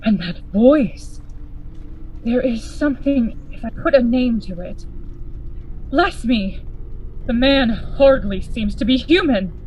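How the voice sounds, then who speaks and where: A woman playing a grave, worried gentleman lawyer in a Gothic radio play, formal and earnest in manner. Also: fairly high pitch, expressive, slow pace, long pauses, a little hiss or hum, rough recording. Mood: troubled, resolute.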